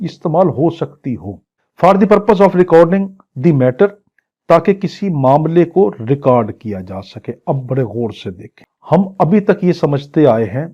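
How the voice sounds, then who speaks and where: Dull-sounding mellow Pakistani man lecturing. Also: fairly high pitch, breathy edge. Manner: didactic, repeats phrases and poses questions then answers them. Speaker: law lecturer. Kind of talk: exam-prep lecture, reading English legal text and explaining it in Urdu.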